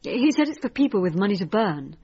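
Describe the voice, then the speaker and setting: Nervy female patient for radio drama: husky voice, swinging intonation, hesitant delivery. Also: mid-range voice, big pitch swings, dark tone, highs cut off. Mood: anxious, confessing. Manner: halting, nervy, searching. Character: anxious, hesitant woman patient, a panicky mother.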